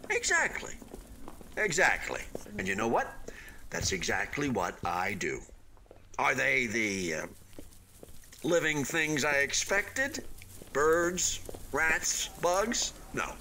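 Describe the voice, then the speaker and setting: An actor in a radio play voicing an abandoned, unfinished hotel building, wistful and defensive, chatting in hesitant, earnest philosophical musings. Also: male voice, mid-range voice, wildly swooping pitch, slow pace, pauses often, pushed and bright, a little hiss or hum, full character voice. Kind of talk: radio play acting, conversational monologue.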